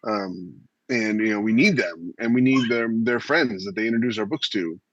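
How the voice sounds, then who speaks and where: A man's voice: lowish voice, moderate pace, highs cut off, a little hiss or hum. A man co-hosting a podcast in casual, conversational panel discussion. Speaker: podcast co-host and indie author.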